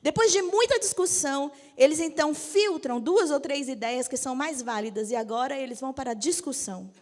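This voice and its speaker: Bishop in her fifties with a Brazilian accent, high and lively, teasing the congregation in a reverberant church hall. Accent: Brazilian Portuguese. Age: 50s. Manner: lively, jokes with the audience, asks for agreement. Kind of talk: church teaching talk.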